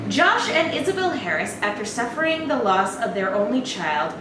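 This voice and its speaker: Echoey, slightly high-voiced woman chatting. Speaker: co-host of a horror trailer reaction show. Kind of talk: casual reaction chat. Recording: echoey, noisy room, distant mic.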